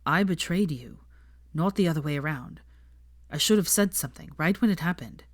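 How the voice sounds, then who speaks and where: A woman's voice: deep voice, animated, moderate pace, dry recording, clean studio sound. A woman reading the part of a casual, slangy young man. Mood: remorseful. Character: young adult man, an easygoing old friend.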